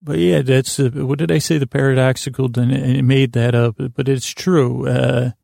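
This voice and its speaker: Creaky, mellow man with an even drone. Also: mid-range voice, dry recording, studio quality. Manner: meandering, halting, self-deprecating. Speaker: podcast host. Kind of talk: rambling, soothing bedtime monologue.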